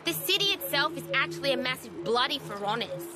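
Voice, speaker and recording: A woman's voice: high-pitched, animated, fairly quick, crowd noise. A British woman voicing a cocky, foul-mouthed young fighter in a video game, blunt and swaggering. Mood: brash, casual. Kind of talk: video game cutscene acting.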